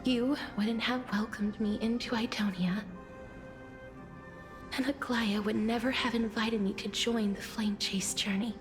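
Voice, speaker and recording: Soft, breathy, slow-spoken solemn maiden played by an actress. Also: female voice, on the high side, fairly even intonation, faint music behind, lightly acted. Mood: tender, grateful. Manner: formal, earnest, deliberate. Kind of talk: scripted fantasy game dialogue.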